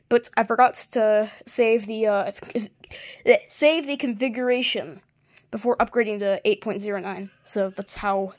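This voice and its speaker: A hobbyist showing off a collection in a casual, halting, offhand way, filmed on a handheld device in a bedroom. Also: mid-range voice. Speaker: hobbyist video maker. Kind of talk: casual show-and-tell of a collection. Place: small carpeted bedroom, indoors. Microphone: handheld phone or camera, moving around.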